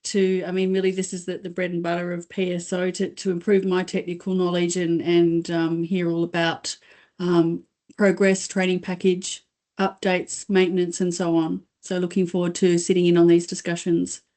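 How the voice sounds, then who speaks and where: A woman introducing herself at a meeting as a senior manager, measured with some hesitations. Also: fairly low pitch, monotone, dark tone. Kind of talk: self-introduction.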